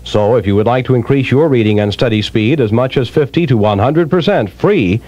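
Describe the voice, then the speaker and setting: A man's voice: medium pitch, a little fast, a little mellow, no room sound. A man reading a scripted radio advertisement as its spokesman, in a 1970s commercial recording.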